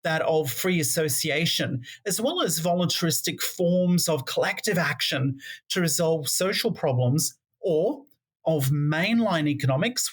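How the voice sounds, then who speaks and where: A woman's voice: low-pitched, slightly rough. A woman hosting a podcast interview, summing up and posing long, carefully built questions with some fillers. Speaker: podcast host.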